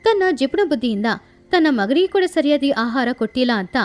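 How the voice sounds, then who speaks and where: A woman tells a children's moral tale in a high-pitched voice. It is scripted storytelling narration with music playing behind her. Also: animated, fairly quick, darkish tone. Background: background music.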